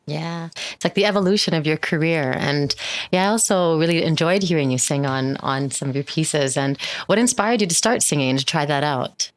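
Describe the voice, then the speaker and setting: A woman hosts the interview and asks thoughtful follow-up questions. Her voice sits very low for a woman. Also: a little fast.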